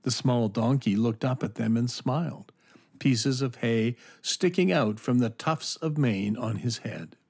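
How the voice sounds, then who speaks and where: Measured, expressive male storyteller. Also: medium pitch, slightly rough. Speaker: narrator. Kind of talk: scripted storytelling narration for a children's audio drama.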